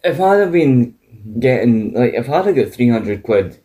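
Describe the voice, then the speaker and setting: A man's voice: mid-range voice, very animated, moderate pace, warm tone. A man in his late thirties, chatting casually as a podcast co-host, with teasing banter and some swearing. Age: 30s. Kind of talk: casual chat.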